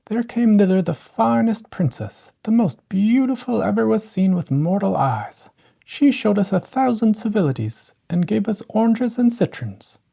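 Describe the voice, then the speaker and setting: A snooty young stepsister from a fairy tale, put on by a narrator in a voice pitched sharply up from normal. She is boastful and scornful, looking down on whoever she addresses. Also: animated, normal speed, creaky, mellow.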